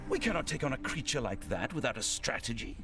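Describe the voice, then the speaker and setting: A man's voice: mid-range voice, big pitch swings, quick pace, music in the background. A man playing a noble military lord in a video game, formal and dignified, with dry, lofty diction. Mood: resolute.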